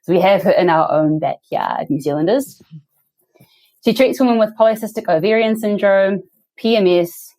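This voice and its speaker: Woman podcast host, very low pitch for a woman, gushing and upbeat. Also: dark tone. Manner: enthusiastic, effusive. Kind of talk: podcast interview hosting.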